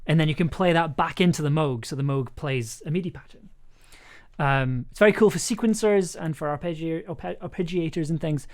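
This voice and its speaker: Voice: medium pitch, a little fast. An enthusiastic, playful music producer chatting casually on a livestream, rambling a little and thinking aloud while working. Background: synth music and keyboard clicks.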